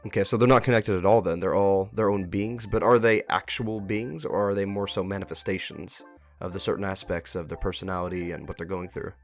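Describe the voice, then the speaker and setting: Fast-talking, lower-pitched man interviewing over music. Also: steady tone. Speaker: interviewer, host. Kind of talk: casual interview about a web horror series. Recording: music playing behind.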